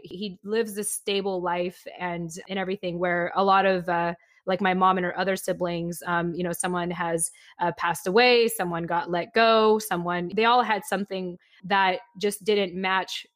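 A woman's voice: on the low side, fairly bright tone, no echo. A woman hosting a podcast, talking reflectively and rambling a little with fillers. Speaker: podcast host.

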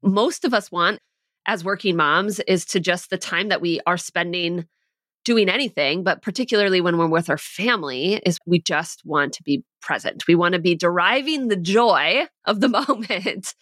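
A woman working as a life coach, explaining ideas in an animated way and acting out the questions that run through one's head. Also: fairly low pitch, moderate pace, no room sound, studio quality. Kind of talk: coaching conversation.

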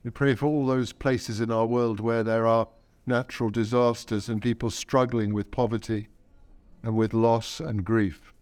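A man prays aloud as a priest leading a service. His voice is smooth and resonant, taken at a slow, reverent pace with measured pauses. The sound is dry, with little room around it. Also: medium pitch, steady tone, darkish tone, no echo. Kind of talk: intercessory prayer in a church service.

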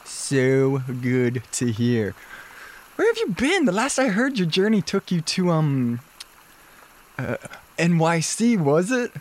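A gushing, laid-back wellness hippie for an audio drama. His voice sits fairly high for a man and swoops up and down. He stretches his words slowly, like an easygoing surfer bro. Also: wildly swooping pitch, full character voice. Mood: excited, effusive. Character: effusive, laid-back wellness-studio hippie dude, old schoolmate.

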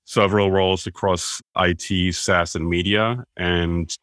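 A man's voice: deep voice, fairly even intonation, a little slow, fairly bright tone, smooth, dry recording, clean studio sound. A man from Germany with a German accent, a podcast guest talking at length and with enthusiasm about his career. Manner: enthusiastic, self-described business nerd, explains at length. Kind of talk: conversational interview.

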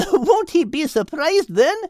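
A storybook male seagull, pitched well above an ordinary man's voice. He is folksy and reassuring, an affectionate husband, with a very animated melody. The sound is dry and close. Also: slow, no echo, heavily acted. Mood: jolly.

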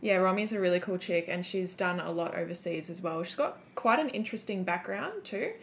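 A woman's voice: lowish voice, expressive, a little hiss or hum. A woman co-hosting a podcast in a laid-back, casual way. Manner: laid-back, drawn-out, vocal fry. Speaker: podcast co-host. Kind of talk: casual chat.

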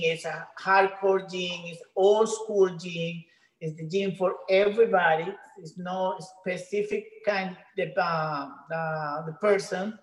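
Venezuelan woman, halting English, echoey room. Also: lowish voice. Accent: Venezuelan Spanish. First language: Spanish. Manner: halting non-native English with many restarts. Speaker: gym trainer, guest. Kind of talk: casual interview.

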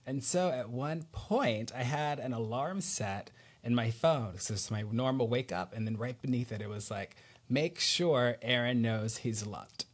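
A man with a mid-range voice hosts a relaxed, informal podcast conversation. He is supportive and encouraging, speaking in an everyday conversational way. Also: mid-range voice, a little slow, slightly rough. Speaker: podcast host. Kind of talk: casual chat.

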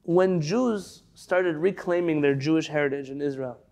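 A man's voice: on the high side, normal speed, vocal fry, a little mellow. A man from San Diego, a rabbi giving a chatty, digressive class over a video call, reading out sources and then explaining them. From San Diego, California. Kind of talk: conversational Torah class.

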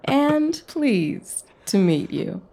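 A woman's voice: medium pitch, very animated, drawn-out words, gravelly, warm tone, exaggerated voice. A woman playing a playful, friendly diner owner who teases breezily, in a romantic audio drama. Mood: playful teasing. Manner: teasing, breezy banter.

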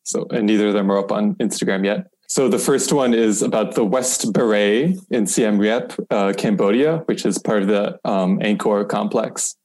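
A man's voice: on the low side, moderate pace, few pauses, a little mellow. A man chatting informally as a podcast guest, explaining and reading out reviews with light amusement.

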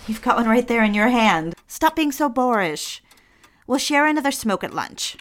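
A woman's voice: a little high, very animated, moderate pace. A woman playing an eager, lonely society housewife in a period audio drama, genteel and coaxing in manner. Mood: bossy, bright.

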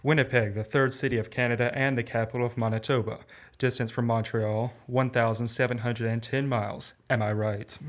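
A young man plays a music-hall memory man in a stagey radio drama. He recites with proud, formal exactness at a middling pitch, courteous to his questioners. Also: little pitch movement, fairly quick, close to an everyday voice. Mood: courteous, assured. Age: young adult. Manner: formal recitation, crisply factual. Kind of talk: stagey radio play acting.

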